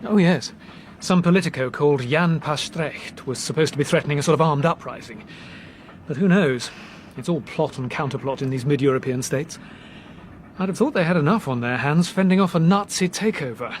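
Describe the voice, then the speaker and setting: An actor playing a brisk young Englishman who reasons deliberately and articulately, in a British radio drama. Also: on the high side, natural voice. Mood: reasoning, brisk. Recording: noisy train background ambience.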